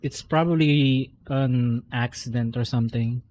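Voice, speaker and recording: A woman's voice: rumbling low, a little slow, darkish tone. A woman with a Filipino accent chatting casually and bluntly as she livestreams a game, reacting freely and switching between two languages. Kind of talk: casual chat while playing a game. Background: faint game music.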